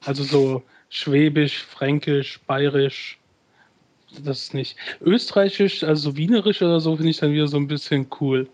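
Mann mit Mainzer Akzent, höhere Stimme. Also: male voice, a little high, moderate pace, a little mellow. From Rhenish Hesse, Germany. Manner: rambling, hesitant, many fillers. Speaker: podcast host. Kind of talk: casual chat.

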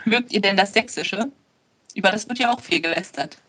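Eine Frau, die in einer Podcast-Runde locker und bedacht mitredet und dabei leicht lacht. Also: female voice, mid-range voice, moderate pace, fairly bright tone, breathy edge. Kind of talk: casual chat.

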